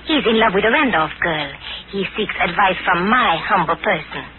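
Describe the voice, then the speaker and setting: An actress playing a coy, poised Chinese antiques dealer with formal, measured politeness in a 1940s radio drama. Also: female voice, medium pitch, expressive, natural voice. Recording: noisy, tinny old radio recording.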